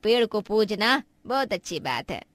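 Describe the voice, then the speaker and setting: A woman's voice: mid-range voice, very animated, moderate pace, no echo, close to an everyday voice. A woman voicing an opinionated older female guest, emphatic and moralizing, in a cartoon story. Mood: admiring.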